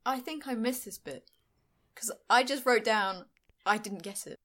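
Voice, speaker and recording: A woman's voice: a little high, measured pace, fairly bright tone. Woman from wales on a podcast, dry and self-mocking, laughs a lot. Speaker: podcast guest. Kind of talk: casual chat.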